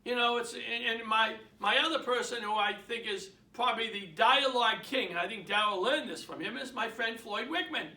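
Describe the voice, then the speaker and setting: A man's voice: extremely high pitch, normal speed, few pauses, sharp tone, far from the mic. A man with a Boston accent giving an emphatic motivational sales talk to realtors, repeating his points and asking the audience questions. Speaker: real estate sales trainer. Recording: roomy, from off the mic.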